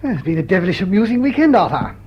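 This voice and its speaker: An actor playing a jolly, enthusiastic upper-class gentleman, an amiable old-boy type in a period radio drama, on a muffled recording. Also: high voice, very animated, quick pace, husky, full character voice. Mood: hearty, admiring. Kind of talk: period drawing-room radio drama acting. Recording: dull, muffled lo-fi sound.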